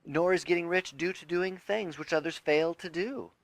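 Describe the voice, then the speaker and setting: A man narrating a self-help audiobook in a deliberate, emphatic, instructive way. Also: a little high, no echo. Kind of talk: scripted audiobook reading of a self-help text.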